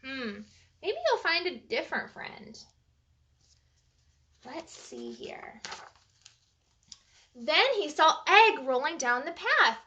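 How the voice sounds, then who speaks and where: High, bright woman reading to kids. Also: slow pace, long pauses, dull audio. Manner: animated, chatty, asks child listeners questions. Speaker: children's storybook reader. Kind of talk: live bedtime storytime read-aloud.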